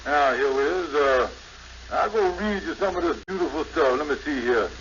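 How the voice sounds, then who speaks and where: A high-pitched, pompous schemer in a 1950s radio comedy, blustering through grand words he gets wrong. The recording is muffled and buried in loud noise. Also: animated. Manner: pompous, fast-talking, mangles grand words. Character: scheming blustery middle-aged male con man giving bogus lessons.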